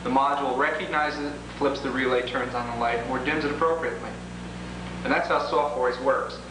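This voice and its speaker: A man's voice: medium pitch, steady tone, brisk. A man presenting an instructional product demonstration, explaining each step methodically in formal phrasing. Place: indoors, in a room with equipment. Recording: echoey room, clearly noisy old home video.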